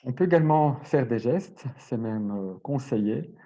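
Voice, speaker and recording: Dark-voiced male teacher, dull sound. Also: mid-range voice, lively intonation, normal speed. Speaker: language teacher giving a lecture. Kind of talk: explanatory lesson, semi-improvised.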